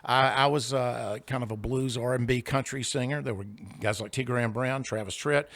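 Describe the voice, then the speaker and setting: Older male singer-songwriter guest. Gravelly, big bluesy voice, chatty and anecdotal, dry close studio sound. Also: medium pitch, steady tone, moderate pace, fairly bright tone, no room sound. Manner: chatty anecdotal, jokey asides. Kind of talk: casual interview storytelling. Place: recording studio.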